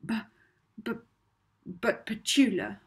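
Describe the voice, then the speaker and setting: Woman voicing an anxious, high-pitched young girl. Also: takes it slowly, husky, full character voice. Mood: worried, stammering. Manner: urgent, pleading, stammering. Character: anxious young orphan girl, desperate and defiant. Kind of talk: children's audiobook character voice.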